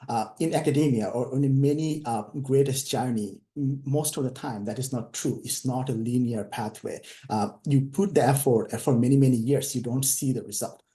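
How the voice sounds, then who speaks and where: A man's voice: mid-range voice, warm tone, roomy sound. A man from Bangladesh giving a career talk as an invited speaker over a video call, hesitant and full of fillers.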